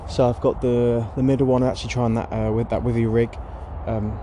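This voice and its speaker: A man chatting casually by a lake about carp fishing, rambling with plenty of fillers, his voice going hoarse. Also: mid-range voice, level tone, brisk. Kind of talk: casual vlog chat to camera. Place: outdoors by a lake. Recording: noisy outdoor recording.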